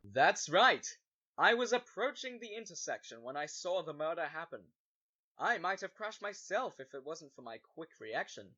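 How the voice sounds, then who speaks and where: A man playing a cocky, evasive, condescending witness in an amateur audio drama, with loud music behind him. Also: on the high side, sing-song, normal speed, muffled recording, exaggerated voice. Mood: defensive.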